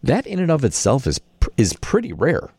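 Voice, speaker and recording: A man's voice: mid-range voice, huge pitch swings, normal speed, darkish tone, breathy edge, dry recording. An American man hosting a conversational radio interview. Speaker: radio host.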